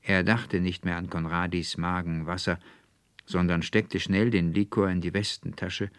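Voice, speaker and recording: A man with a standard German accent narrating a literary fairy tale in a measured, dramatic, precise manner. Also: low-pitched, little pitch movement, smooth, no room sound. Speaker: audiobook narrator.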